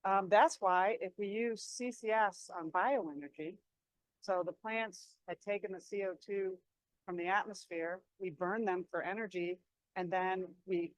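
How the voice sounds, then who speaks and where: A woman's voice: medium pitch, dry recording, low-quality recording. A woman presenter answering audience questions in a conversational way, often repeating a word as she restarts. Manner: quick, repeats words when restarting.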